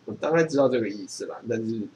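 A man's voice: medium pitch, fast talker, darkish tone, a little hiss or hum. A man, reading from a book and explaining it in a casual chat. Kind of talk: reading a book aloud and chatting about it.

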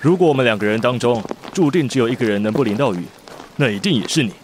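A man's voice: mid-range voice, expressive, fast, slight background noise, close to an everyday voice. A man playing a dry, teasing tutor. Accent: Taiwanese Mandarin. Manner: dry, teasing, gently caring. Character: teasing, composed adult male private tutor. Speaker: voice actor. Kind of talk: amateur radio drama acting.

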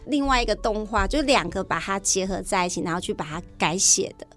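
A woman's voice: medium pitch, animated, brisk, fairly bright tone. Taiwanese-accented woman teacher explaining over music. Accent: Taiwanese Mandarin. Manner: frequent affirmations, mixes in English terms. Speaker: teacher, interview guest. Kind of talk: conversational interview explanation.

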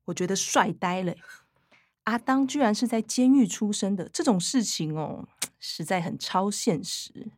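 An actress playing a reluctant young activist granddaughter with a Taiwanese accent, whiny and indignant, in a radio drama. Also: female voice, medium pitch, moderate pace, darkish tone, dry recording, close to an everyday voice. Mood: incredulous. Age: young adult.

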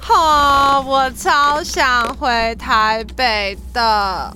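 A young woman with a Taiwanese Mandarin accent playing an excitable, chatty, teasing university student in an audio drama. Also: high-pitched, extremely slow, slight background noise, heavily acted. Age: young adult. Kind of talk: audio drama acting, casual chat.

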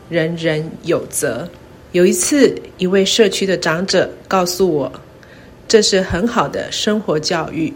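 Middle-aged Taiwanese Mandarin woman, measured narration. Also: on the low side. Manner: measured, short careful phrases. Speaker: university president. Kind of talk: scripted reflective narration. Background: background music.

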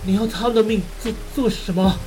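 A woman's voice: mid-range voice, steady tone, a little fast, warm tone, soft background music, close to an everyday voice, poor audio. A woman in an audio drama playing a desperate businessman who stammers and begs breathlessly. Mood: hesitant, afraid. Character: desperate, pleading businessman, a husband and father.

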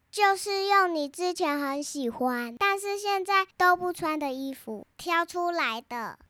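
A woman's voice: extremely high pitch, bright, forceful tone, no echo, exaggerated voice. A young girl playing an earnest, outspoken storybook heroine, reciting her lines carefully. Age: child.

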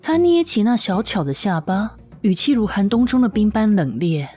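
一位女性有声小说旁白，照着剧本富有表情地讲述故事。. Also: female voice, medium pitch, very animated, normal speed, darkish tone, slightly rough. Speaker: audiobook narrator. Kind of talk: expressive scripted story narration. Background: background music.

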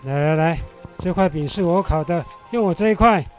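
A man acting a hospitable, excitable villager in a radio Bible play. His voice is very high for a man and exclamatory, over faint music. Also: normal speed, natural voice.

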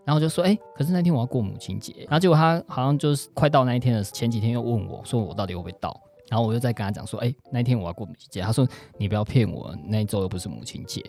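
A man's voice: medium pitch, racing, few pauses, darkish tone, soft background music. A man with a Taiwanese Mandarin accent chatting casually as a podcast guest, somewhat hesitant and noncommittal. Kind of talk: casual chat.

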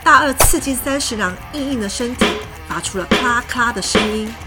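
A woman narrating a children's story in a gentle, expressive manner. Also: fairly high pitch, brisk. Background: background music.

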